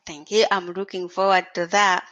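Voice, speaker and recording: A woman's voice: fairly low pitch, level tone, moderate pace, a bit bright, dull audio. A woman hosting a podcast, reading a formal scripted intro and interviewing a guest. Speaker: podcast host.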